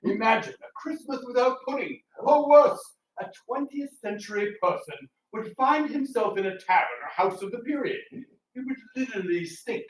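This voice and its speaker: A man's voice: extremely high pitch, lively intonation, normal speed. A man who works as an actor, co-presenting a scripted talk in a dramatic, declamatory manner. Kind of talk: theatrical scripted lecture. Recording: roomy, distant mic, faint noise.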